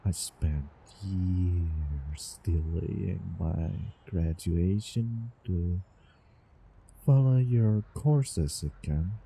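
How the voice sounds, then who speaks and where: Man acting a slow, dark-voiced, doting time traveler. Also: deep voice, slight background noise, full character voice, low-quality recording. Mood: lovesick, wistful. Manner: halting, nervous, breathy chuckles. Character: doting, obsessive male time-traveling student in love. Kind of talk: intimate roleplay audio drama monologue. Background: soft background ambience.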